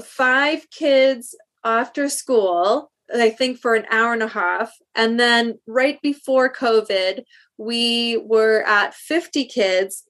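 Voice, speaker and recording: A woman from South Africa with a fairly high voice answers interview questions in an earnest, thoughtful way. She often stops mid-phrase and starts over. Her answers run long. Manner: earnest, thoughtful, with many restarts. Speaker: guest, speech language pathologist.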